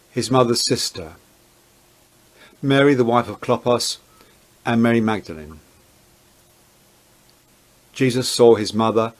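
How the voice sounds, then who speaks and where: A man with a mid-range voice serves as narrator of a dramatized scripture reading. He reads in a measured and deliberate manner. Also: medium pitch.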